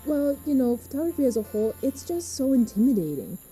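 A woman playing an easygoing, reassuring teenage girl who talks gently and casually in a podcast drama. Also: sits high, very animated, quick pace, soft background music, low-quality recording. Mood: reflective, reserved. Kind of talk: fiction podcast acting.

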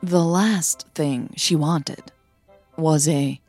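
A woman reads scripted fiction in a somewhat low, breathy, husky voice. She takes her time, phrasing each line deliberately with pauses. Music plays behind her narration. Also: darkish tone, dry recording. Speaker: podcast host and fiction narrator. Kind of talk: scripted audio story narration.

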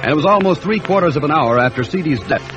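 A man's voice: on the high side, lively intonation, quick pace, highs cut off. Formal old-time radio announcer, man, over music. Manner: formal, dramatic. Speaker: announcer and narrator. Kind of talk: 1940s radio scripted narration.

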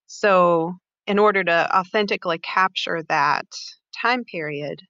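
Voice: mid-range voice, a little slow, pushed and bright, no room sound. A podcast co-host asking a guest questions in a conversational style, heard on slightly dull, band-limited audio. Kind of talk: conversational interviewing.

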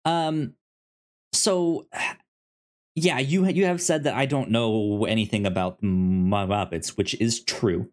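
A man's voice: fairly low pitch, takes it slowly. A man co-hosting a podcast in casual chat about films, phrasing his thoughts a little hesitantly. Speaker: podcast co-host.

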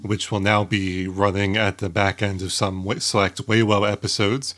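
A man's voice: lowish voice, level tone, normal speed, fairly bright tone. A man hosting a podcast in casual, informal talk, rambling and often interrupting himself. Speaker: podcast host.

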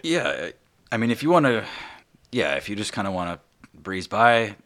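A man's voice: on the low side, big pitch swings, moderate pace, a bit bright. A man voicing an earnest, folksy farmer who is a player's brother, talking eagerly with some stammering. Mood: friendly. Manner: eager, stammering, good-natured.

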